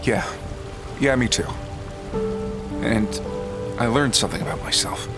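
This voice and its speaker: A man playing a wisecracking, tender, self-mocking adventurer in an audio drama, talking in a halting, wry, conversational way. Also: medium pitch, steady tone, loud music behind the voice, lightly acted. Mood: earnest. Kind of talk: fan audio drama acting.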